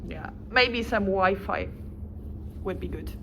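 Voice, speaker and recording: A woman's voice: mid-range voice, takes it slowly, creaky, background noise. A woman with a German accent, whose first language is German, introducing herself in a casual, rambling way with restarts and small laughs. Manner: rambling with self-corrections and light laughs. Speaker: volunteer applicant. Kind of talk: casual self-presentation.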